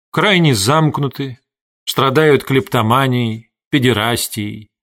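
A man with a mid-pitched voice narrates literary fiction. His delivery is scripted and deliberate, set out in measured phrases as an audiobook reader would do.

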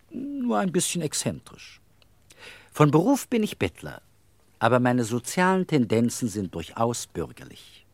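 Casting: eccentric, talkative middle-aged beggar with bourgeois airs, very lively pitch, dry close sound, radio drama. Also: male voice, no room sound. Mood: wry, self-satisfied. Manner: theatrical, rambling storyteller, wry. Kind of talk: radio play acting.

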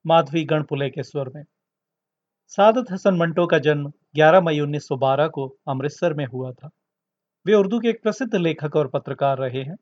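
A man's voice: fairly high pitch, normal speed, darkish tone. A man reading a scripted introduction as the host of a story podcast. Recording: dull lo-fi recording.

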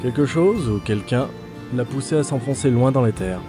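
A man acting as a gruff but helpful fantasy ranger, reserved and calm, who explains things like a seasoned guide. His voice sits at a medium pitch with music clearly playing behind it. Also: normal speed, natural voice. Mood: apologetic, reserved. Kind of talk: audio drama dialogue.

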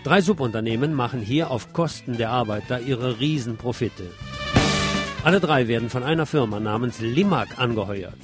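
A male news presenter reads scripted reports in an emphatic, slightly wry way. His voice sits at an average male pitch. Music with drums and guitar plays clearly behind him. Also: normal speed.

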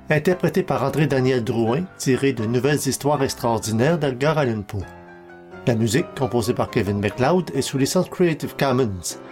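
Low-voiced male podcast announcer over music. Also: a little mellow. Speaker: podcast presenter reading credits. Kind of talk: scripted announcement, credits outro.

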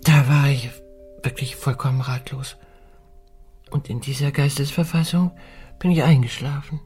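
A woman playing an anxious, dignified widow who leads an expedition in a German radio play, speaking in a hesitant, formal, deliberate way with a husky voice. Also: extremely low pitch, fairly even intonation, darkish tone, full character voice. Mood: grave, troubled. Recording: music under the voice.

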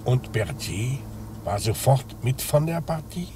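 An actor playing a terse, probing police inspector interrogating a suspect in a 1950s radio crime drama. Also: male voice, medium pitch, sing-song, unhurried. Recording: noisy old 1950s radio recording.

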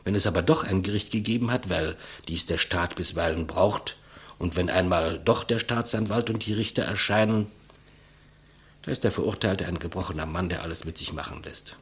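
Husky, low-voiced humble executioner. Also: male voice, normal speed. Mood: quiet, resigned. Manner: deferential, plain-spoken, reflective. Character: humble, despised old-school male executioner, quietly matter-of-fact. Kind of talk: 1950s German radio play acting. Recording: muffled vintage 1959 radio recording.

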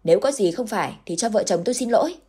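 Woman voicing a gruff village husband, low, mellow, husky, curt and grave. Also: brisk. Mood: angry.

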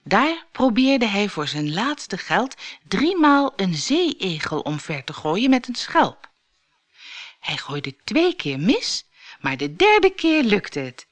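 A woman reading a scripted children's audiobook in an expressive storytelling manner. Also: medium pitch, takes it slowly.

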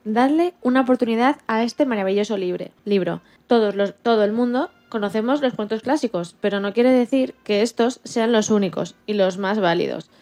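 A woman's voice: on the high side, moderate pace, hardly any pauses, a little mellow. A woman with a Spain Spanish accent co-hosting a radio show, presenting a semi-scripted segment.

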